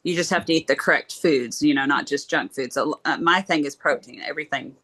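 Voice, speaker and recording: A woman's voice: deep voice, fast, a bit bright. A woman in her late thirties chatting casually, plainspoken and practical. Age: late 30s. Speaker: podcast co-host. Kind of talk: casual chat.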